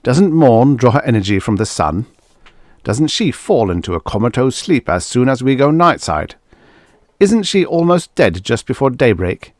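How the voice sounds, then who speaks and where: Domineering high-ranking husband played by a male narrator, pompous and contemptuous, scolding in a dry storybook recording. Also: big pitch swings, moderate pace, no echo, close to an everyday voice. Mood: furious.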